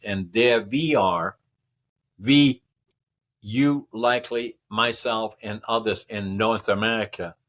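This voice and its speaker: Old guy in his 80s, kinda low voice, rambles and repeats himself on a podcast. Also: fairly bright tone. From Northern Netherlands. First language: Dutch. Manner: rambling, repeats words and restarts, strings lists together. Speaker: podcast guest. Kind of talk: casual interview answers.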